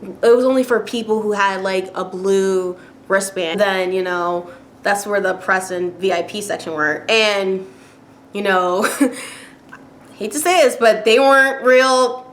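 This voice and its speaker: A woman talks straight to camera in a loose, chatty rant, full of "like" and "you know," breaking into short laughs, then climbing higher when something annoys her. Her voice sits at an ordinary middle pitch, and faint noise hums behind it. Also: takes it slowly. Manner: chatty, frequent fillers and laughs, rising exasperation. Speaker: vlogger.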